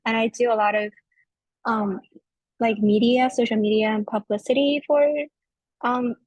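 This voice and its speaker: A young woman, a college junior, introducing herself on a video call in a flustered, hurried way. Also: fairly high pitch, steady tone, a little mellow, no echo, poor audio. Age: young adult. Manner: flustered, quick, laughs easily. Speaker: college junior, club member. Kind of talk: casual chat on a video call.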